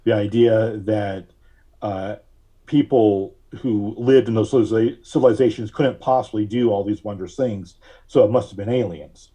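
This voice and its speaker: A man talking casually as a podcast guest, halting and thinking aloud as he goes. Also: on the low side, warm tone, slightly rough. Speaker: audio drama writer. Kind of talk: casual interview talk.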